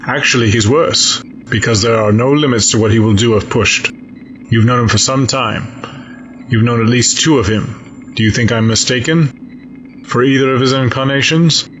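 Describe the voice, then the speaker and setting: Stern male admiral, played by a man for audio drama: measured, formal, mid-low register, muffled slightly noisy recording. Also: fairly bright tone, lightly acted. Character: stern, grave, coldly logical male fleet admiral. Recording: dull lo-fi sound, audible noise.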